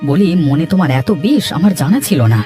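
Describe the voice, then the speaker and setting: A man's voice: a little high, expressive, normal speed, warm tone, faint music behind, lightly acted. A man voicing an aggrieved villager in a melodramatic cartoon moral tale, emphatic and lamenting. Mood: indignant.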